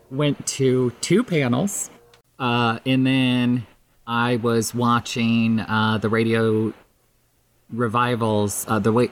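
A podcast guest chatting casually in a halting, hesitant way. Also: medium pitch, slight background noise. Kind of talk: casual chat.

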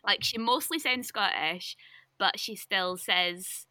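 Bright, chatty young woman. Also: mid-range voice, takes it slowly, no room sound. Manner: chatty, lots of "like" fillers, laughs often. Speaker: linguistics researcher guest. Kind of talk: casual podcast chat.

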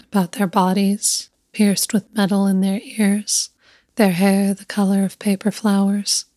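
A woman narrates a literary tale with slow, deliberate phrasing. Her delivery is hushed and stays within a narrow, even range of pitch. The recording is dry, with almost no room around the voice. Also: no echo. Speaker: story narrator. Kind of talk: scripted literary story narration.

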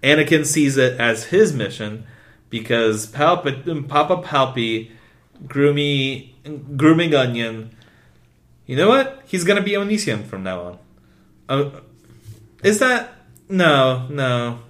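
A male podcast co-host chatting loosely and excitably, full of swearing and quick asides. English is not his first language, and he talks at a middle pitch. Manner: swears constantly, rambling and excitable. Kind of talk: casual chat.

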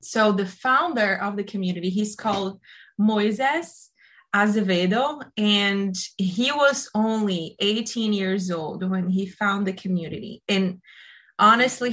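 A Brazilian woman answers questions as a podcast guest, talking earnestly about her life with small pauses and restarts. Her voice is of middle pitch. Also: fairly bright tone. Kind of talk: conversational interview.

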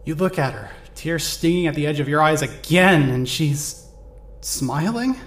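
A man narrating in second person for a fan audio drama, measured, intimate and searching. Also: on the high side, a little hiss or hum. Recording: roomy echoey recording.

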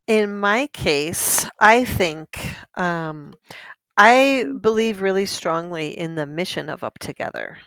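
Woman interpreter, slow n smooth, lowish voice, dry close mic. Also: expressive, no echo, poor audio. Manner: measured, with soft fillers. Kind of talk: interpreting a guest's answer into English.

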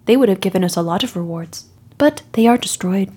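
Woman playing a husky, deadpan warrior woman. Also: medium pitch, fairly quick. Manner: stiff, formal, deadpan, avoids contractions. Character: blunt, literal-minded, brawny female warrior.

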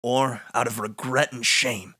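Man reading as a menacing spirit of darkness, bright-edged voice. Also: mid-range voice, fairly even intonation, measured pace, husky. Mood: threatening. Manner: formal, declamatory threats. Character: menacing, arrogant spirit of darkness, coldly commanding. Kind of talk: read-aloud of a video game script.

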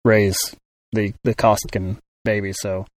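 A man with a somewhat low voice talks through a casual film discussion, pausing and restarting as he thinks. The recording is dry and close, with no room sound. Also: level tone, no echo. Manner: thoughtful, many restarts and fillers. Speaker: film podcast co-host.

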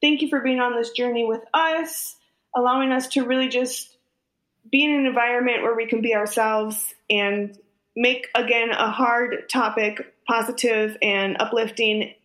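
Young woman cohost, high voice, encouraging, echoey room on a video call. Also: fairly even intonation, moderate pace. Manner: warm, encouraging sign-off. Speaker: podcast co-host. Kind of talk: casual chat.

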